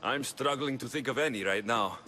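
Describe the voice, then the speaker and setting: An actor playing a guarded, dry and blunt young Greek mercenary in a video game cutscene. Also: male voice, mid-range voice, a little fast, pushed and bright, natural voice. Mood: uneasy.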